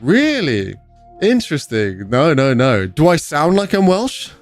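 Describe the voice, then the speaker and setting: A man's voice: a little high, sing-song, measured pace, no echo, faint music behind. A young man from England with an English accent, hosting a gaming livestream and chatting casually with viewers as he reads their messages. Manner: chatty, reads chat aloud and answers viewers. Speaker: livestream host.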